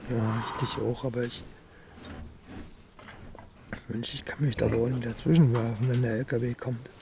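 An actor playing a worn-out, tender village farmer with a Berlin-Brandenburg accent, speaking haltingly and colloquially in a radio play. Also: male voice, medium pitch, flat delivery, vocal fry, noisy background, natural voice. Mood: troubled, tender. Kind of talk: naturalistic radio play acting.

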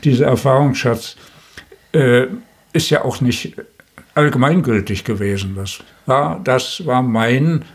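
An older man in his seventies or beyond speaks with a husky, mid-pitched voice. He talks reflectively, as a guest in an interview. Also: a little slow. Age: 70s or older. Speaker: veteran radio feature maker, interview guest.

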